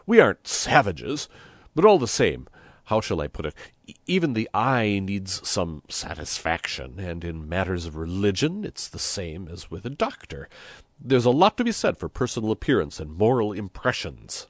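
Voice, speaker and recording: A man voicing a hot-headed, blustering village mayor in a storybook, loud, exasperated and given to oratory. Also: deep voice, sing-song, moderate pace, no echo. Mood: awkward, pleading.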